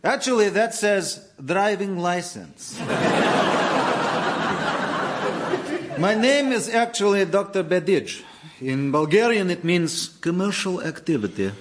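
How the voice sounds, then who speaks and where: High pitched exasperated bulgarian evil doctor, very animated, radio comedy, noisy recording. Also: male voice, measured pace. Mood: menacing. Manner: theatrical, threatening, easily exasperated. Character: menacing, exasperated male Bulgarian villain doctor. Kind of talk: radio sitcom acting.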